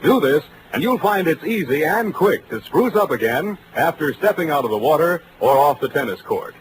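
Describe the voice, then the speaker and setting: A man's voice: on the high side, animated, normal speed, background noise. An American man announcing on 1940s radio with a polished sales delivery. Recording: vintage radio broadcast.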